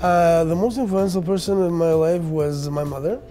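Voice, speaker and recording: A man's voice: a little high, steady tone, normal speed, mellow. A man in his thirties, born in Russia and raised in Canada, answering interview questions in a casual, hesitant way with many fillers and restarts. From Armenian, born in Russia, raised in Canada. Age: 30s. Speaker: business owner.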